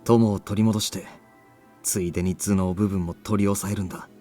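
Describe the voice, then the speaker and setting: A man's voice: low voice, steady tone, racing, warm tone, lightly acted. A man playing a cool-headed young male student ally in a Japanese visual novel. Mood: expectant. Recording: faint game music behind.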